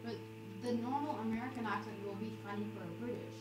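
Distant young girl over loud game music. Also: a little high, quick pace, roomy sound. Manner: casual, short reactive remarks. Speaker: gamer. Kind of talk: casual gameplay chat.

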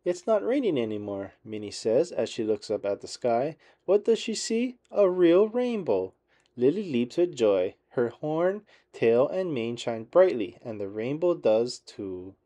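A man reads a storybook aloud for small children. His voice is mellow and moves up and down animatedly, with measured, expressive phrasing. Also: mid-range voice. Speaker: children's book narrator.

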